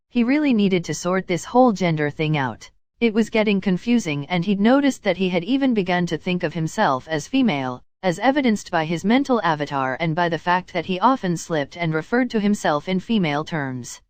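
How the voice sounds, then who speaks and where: Low-pitched woman reading a story, dry recording. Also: big pitch swings, brisk, no room sound. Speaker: narrator reading fan fiction aloud. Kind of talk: scripted story narration with character dialogue.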